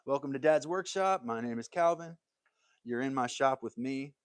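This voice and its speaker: A man's voice: mid-range voice, moderate pace, clear, resonant tone, no echo, studio quality. A man hosting a casual woodworking livestream from his workshop, talking in an easygoing, rambling way and answering viewer comments. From United States.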